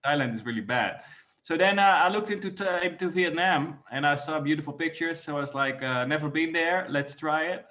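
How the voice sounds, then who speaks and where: Podcast guest, male, about 30, Dutch accent, sharp bright tone, slightly raised pitch, informal. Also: a little fast. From Netherlands. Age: 30s. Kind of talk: casual chat.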